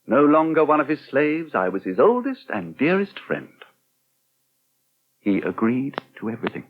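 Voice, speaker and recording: A man's voice: medium pitch, sing-song, normal speed, phone-quality sound. An actor playing a wry, articulate middle-aged English newspaper editor in a 1980 British radio soap, drily teasing as he talks. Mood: wry, satisfied. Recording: thin, band-limited old radio recording.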